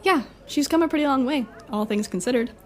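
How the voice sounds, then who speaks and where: A woman's voice: high voice, brisk, lightly acted. A woman acting a brash, encouraging superpowered party host in an audio drama, breezy and teasing.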